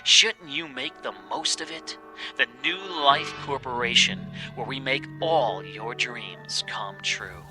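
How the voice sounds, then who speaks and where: An actor playing an enticing, polished commercial announcer within a radio drama. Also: male voice, mid-range voice, slow pace, lightly acted. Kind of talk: advertising read in radio drama. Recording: music bed under the voice.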